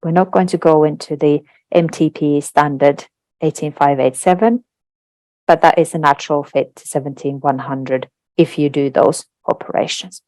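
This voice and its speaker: Low-voiced Finnish woman presenting a webinar. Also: steady tone, normal speed, darkish tone, no echo. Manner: explanatory, with frequent fillers and tag questions. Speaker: webinar presenter on translation standards.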